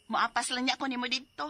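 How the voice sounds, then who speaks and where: A woman with a Cebuano accent acting a cheerful, supportive young friend in a radio drama. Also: sits high. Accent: Cebuano Filipino.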